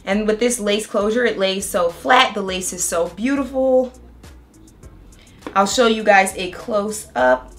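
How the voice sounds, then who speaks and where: A young woman vlogging a hair tutorial in a chatty, excited way, walking through each step, her voice a little raspy from a cold. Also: medium pitch, measured pace, faint music behind. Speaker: beauty vlogger. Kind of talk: casual hair tutorial and review.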